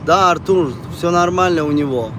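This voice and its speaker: A man's voice: fairly high pitch, moderate pace. A man from Tajikistan, chatting casually and ramblingly with viewers on a livestream. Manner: rambling, conversational, repeats phrases. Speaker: live streamer. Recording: noisy outdoor or car street noise.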